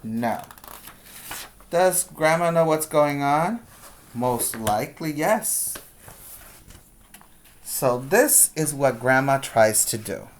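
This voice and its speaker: Slow, deliberate teacher reading aloud. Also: mid-range voice, expressive. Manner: deliberate, emphatic on story words, explains to children. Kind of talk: read-aloud storytelling for preschoolers, with explanatory asides.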